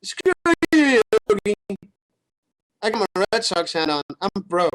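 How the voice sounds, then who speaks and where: A man's voice: high-pitched, lively intonation, a little slow, a bit bright. A man co-hosting a casual gaming show, rambling loosely with crude, teasing swearing. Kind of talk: casual chat.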